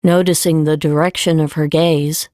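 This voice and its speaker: Female audiobook narrator, lowish voice, scripted storytelling, fantasy fiction, dry close sound. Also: flat delivery, moderate pace, mellow, dry recording.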